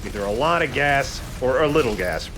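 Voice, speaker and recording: Very animated man doing game commentary. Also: mid-range voice, brisk, background noise. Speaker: let's play gamer and commentator. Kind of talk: casual joking gameplay commentary. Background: faint game music.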